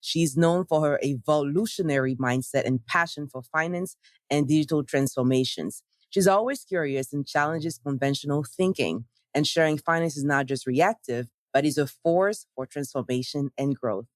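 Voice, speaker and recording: A woman's voice: low voice, moderate pace, no echo. Upbeat woman podcast host, dry sound. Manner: upbeat, enthusiastic. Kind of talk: podcast interview hosting.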